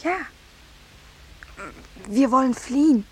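A woman's voice: high voice, takes it slowly, breathy edge, exaggerated voice, rough recording. A woman playing a coquettish, commanding, sweetly scheming young lady in a 1950s radio drama. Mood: intimate, conspiratorial. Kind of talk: 1950s German radio play acting.

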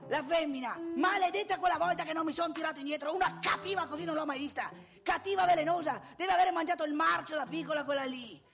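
High-pitched grumbling older father. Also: gravelly, fairly bright tone, full character voice. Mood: bitter, exasperated. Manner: colloquial, repetitive, confiding, addresses the listener directly. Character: older family man, father of three grown children, grumbling, confiding. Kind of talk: theatrical comic monologue.

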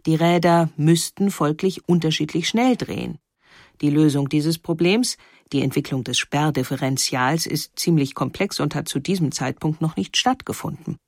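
A woman reading scripted documentary narration in a measured, explanatory way. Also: sits low, a little mellow, no room sound, studio quality.

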